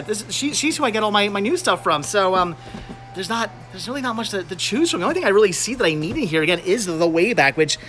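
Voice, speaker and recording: A man's voice: sits high, pushed and bright. An American man hosting a casual shopping vlog, chatting to viewers and often repeating his words as he goes. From United States. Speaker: host of a movie-collecting video channel. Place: on location at a flea market and store.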